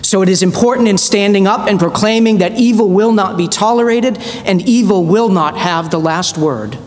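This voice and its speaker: A middle-aged male priest giving a measured, earnest sermon from a church pulpit, pressing on key words with slow emphasis. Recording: reverberant church with faint rumble.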